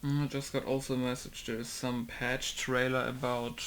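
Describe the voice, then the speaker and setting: Laid-back gravelly man chatting casually. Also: mid-range voice, level tone, moderate pace, slight background noise. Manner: rambling, laid-back, often trails off quietly. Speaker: video game modder. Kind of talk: casual commentary over gameplay.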